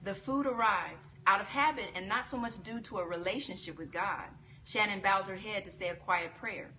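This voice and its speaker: Bright-voiced woman reading aloud. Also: mid-range voice, moderate pace. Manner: reads a novel aloud, then breaks off to read out and laugh at viewer comments. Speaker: book club host reading aloud. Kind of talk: live-stream book reading and chat. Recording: distant mic with some background noise.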